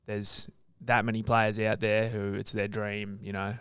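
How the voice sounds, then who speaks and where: Clear-voiced Australian man, casual chat. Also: fairly low pitch, little pitch movement, unhurried, fairly bright tone, dry recording. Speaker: podcast co-host.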